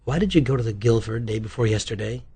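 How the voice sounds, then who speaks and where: A man voicing a tough, curt woman police detective, brisk and probing, in an audiobook. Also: mid-range voice, expressive, dark tone, slightly rough.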